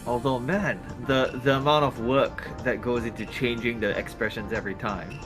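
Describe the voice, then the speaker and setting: A man's voice: medium pitch, fairly even intonation, normal speed. A man chatting casually as a livestream co-presenter, hesitant and circling back over his phrases. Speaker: co-presenter working on the project. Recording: music playing behind voice. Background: music.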